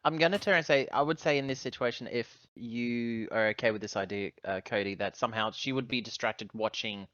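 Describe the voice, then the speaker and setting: A man's voice: medium pitch, brisk, few pauses, fairly bright tone, no room sound, lo-fi sound. A man playing a tabletop game, hesitantly and ramblingly describing what his character does. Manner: rambling, hesitant, self-correcting. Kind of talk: casual game talk, describing character actions.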